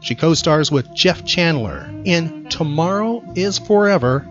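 Animated high-pitched man hosting trivia. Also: a little slow, highs cut off, faint music behind. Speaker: podcast host and quizmaster.

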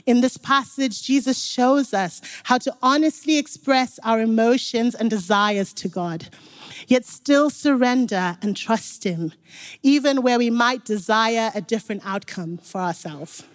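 A woman's voice: fairly high pitch, measured pace. A young woman from Zimbabwe giving an earnest, encouraging sermon, speaking directly to the congregation. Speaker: preacher.

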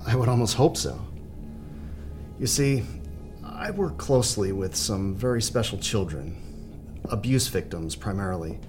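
A man playing an earnest, troubled psychiatrist in an audio drama, speaking in a measured, polite, halting way. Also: lowish voice, expressive, over music. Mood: hesitant, troubled. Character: earnest, hesitant male psychiatrist, troubled but professional.

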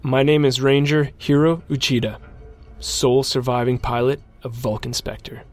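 A man playing a mech pilot and sole survivor, narrating his account in a measured first-person audio drama style. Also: medium pitch, level tone, lightly acted. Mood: grim, reflective.

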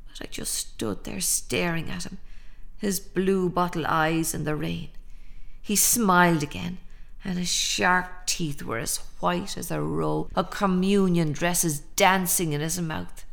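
A woman's voice: on the low side, measured pace, gravelly, a bit bright. A woman in an audio drama playing an ordinary, defiant, haunted middle-aged Irish mother, plain-spoken and brooding. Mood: brooding, uneasy.

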